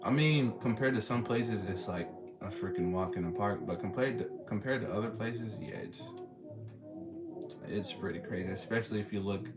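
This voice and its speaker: A man's voice: fairly low pitch, moderate pace. A young man with a Texan accent, hosting a casual live stream and chatting with callers in a laid-back, slangy way. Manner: laid-back, slangy, reading and answering chat. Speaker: streamer host. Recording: loud music playing behind.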